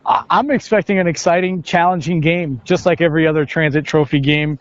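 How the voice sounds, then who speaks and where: A muffled, lo-fi recording of a man with a somewhat high-pitched voice. He talks conversationally as a sports announcer being interviewed, pausing and repeating words as he goes. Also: steady tone. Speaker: radio play-by-play announcer, interview guest. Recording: dull, lo-fi, band-limited sound.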